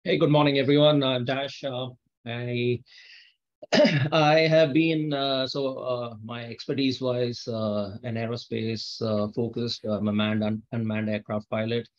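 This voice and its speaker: A man introducing himself as a course facilitator, halting, with many fillers. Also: medium pitch, steady tone, highs cut off. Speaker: learning facilitator. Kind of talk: self-introduction.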